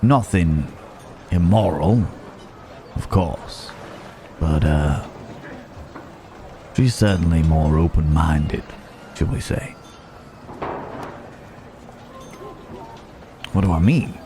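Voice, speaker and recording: A man's voice: rumbling low, dark tone, slight background noise. A man playing a roguish, genteel Wild West stranger in an ASMR roleplay, wry and musing, speaking straight to the listener. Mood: wry, teasing. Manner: unhurried, wry, musing, with drawn-out pauses.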